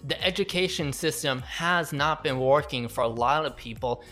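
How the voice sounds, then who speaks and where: A man hosting a casual interview and speaking in a measured, conversational way. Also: a little high, bright, forceful tone, faint music behind.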